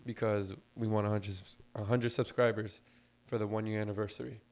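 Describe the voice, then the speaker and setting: A man co-hosting a casual podcast, slightly hoarse as his voice recovers, explaining things in a laid-back way with lots of fillers. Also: lowish voice, little pitch movement, a little fast, a little mellow. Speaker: podcast co-host.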